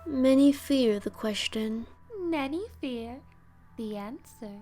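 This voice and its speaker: Ancient, eerie witches, played by a woman, chanting spells in a high, drawn-out voice. They speak in cryptic riddles, slow and ominous, with faint music underneath. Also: dark tone. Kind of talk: fan audio drama acting.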